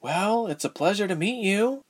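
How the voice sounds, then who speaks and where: A man's voice: high voice, lively intonation, moderate pace, breathy edge, voice pushed up. A man voicing a tough, guarded young woman held hostage in an audiobook story. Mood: wry, guarded.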